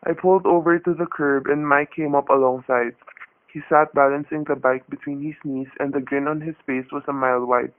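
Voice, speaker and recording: A man playing a quick-thinking hostage husband in a radio thriller, talking and narrating in a tense, hard-boiled way. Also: fairly high pitch, fairly even intonation, natural voice. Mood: grim, tense. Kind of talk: radio thriller acting and first-person narration. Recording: dull, noisy radio-play recording.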